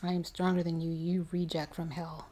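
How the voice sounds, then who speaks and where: A woman storyteller acts out a fierce mother from a horror tale. She is defiant and commanding, stressing her words firmly. The voice stays lowish and mellow. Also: flat delivery, brisk, lightly acted. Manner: emphatic, commanding.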